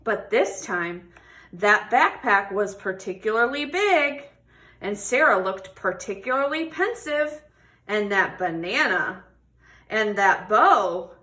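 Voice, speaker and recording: A woman's voice: fairly high pitch, bright, forceful tone. A woman reading a children's picture book aloud expressively, stretching words for emphasis and putting questions to young listeners. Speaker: read-aloud storybook reader.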